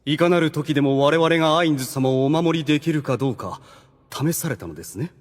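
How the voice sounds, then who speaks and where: A man's voice: fairly high pitch, big-room sound, close to an everyday voice. A man playing a suave, devoted demon strategist who speaks with polite relish, in a Japanese anime audio drama. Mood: reverent. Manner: polite, smooth-talking, deliberate flattery.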